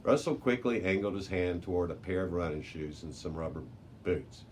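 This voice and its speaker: A man's voice: low voice, fairly even intonation, normal speed. A man reading a children's adventure story aloud as a library narrator, with the odd small stumble. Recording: noticeable background noise.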